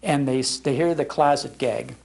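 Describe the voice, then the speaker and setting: An older American man giving an informal, anecdotal public talk in an animated, conversational manner. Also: medium pitch, normal speed, gravelly. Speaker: speaker on old-time radio history, broadcaster. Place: public library talk before an audience.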